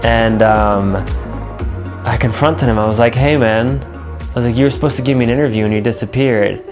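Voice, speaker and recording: A man's voice: mid-range voice, brisk, music in the background. An American man, a filmmaker, telling a personal story in a casual, conversational way. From New York. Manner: conversational, re-enacts dialogue. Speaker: filmmaker and narrator.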